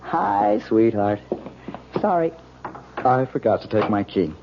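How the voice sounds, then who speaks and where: An actor playing an affable husband in an old-time radio mystery, talking in a casual, affectionate, breezy way. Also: male voice, fairly low pitch, sing-song, takes it slowly, gravelly, exaggerated voice. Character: affable husband returning to his wife. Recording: dull, noisy old radio recording.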